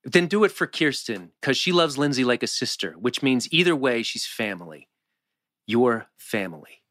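A man playing an earnest, laconic, protective teenage boy in a drama table read, understated and halting. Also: medium pitch, sing-song, fairly bright tone, dry recording. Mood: earnest, firm.

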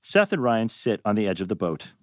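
A man's voice: fairly low pitch, very animated, fairly quick, no echo, clean studio sound. A man reading stage directions as narrator at a script table read.

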